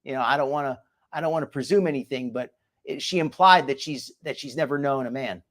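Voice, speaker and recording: A man's voice: mid-range voice, fast, fairly bright tone. A man with a slight New Jersey accent hosting a show, gossiping and mocking sarcastically. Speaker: host. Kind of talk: mocking reaction commentary.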